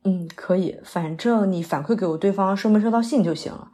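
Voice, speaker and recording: 一位女演员在广播剧里饰演刚下戏、略显疲惫的戏曲女演员，语气客气口语化，偶尔迟疑。. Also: female voice, mid-range voice, a little fast, dark tone, lightly acted. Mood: persuasive, friendly. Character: polite, weary female opera performer after a late show. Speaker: stage actress in an audio drama. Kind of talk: naturalistic modern audio drama dialogue.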